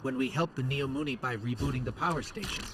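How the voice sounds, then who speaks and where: An actor voicing a small, inquisitive robot companion in a video game, with a conversational, questioning manner. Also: male voice, medium pitch, fast, noisy background, lightly acted. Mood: curious. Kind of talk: scripted video game dialogue. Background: game music.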